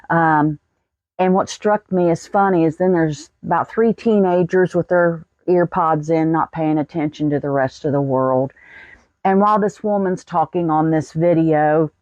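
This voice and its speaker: A woman's voice: low voice, a little mellow, dry recording, dull audio. An American woman in her sixties telling a personal story in a folksy, plain-spoken way. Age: 60s. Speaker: vlogger.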